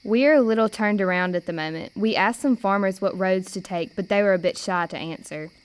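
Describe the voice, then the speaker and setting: A woman's voice: mid-range voice, fairly quick, clean tone, no echo, close to an everyday voice. A young woman playing a determined, plain-spoken girl in an amateur radio play. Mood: polite. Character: plucky, determined red-haired girl, older sister.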